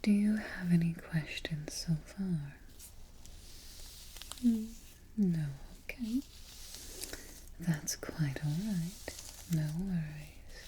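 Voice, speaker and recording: A haughty evil queen, acted by a woman murmurs in a soft whisper, low and mellow. She is prim and exacting, giving orders slowly in an ASMR roleplay, close on the mic with faint noise behind her. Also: rough recording. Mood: calm, fond. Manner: unhurried, exacting, politely imperious. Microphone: close ASMR microphone.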